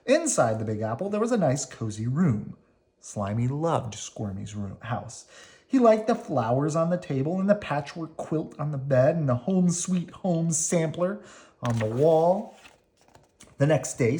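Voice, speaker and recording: A woman reading a children's storybook aloud in an expressive, dramatic way. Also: low voice. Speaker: storybook reader. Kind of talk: children's read-aloud narration.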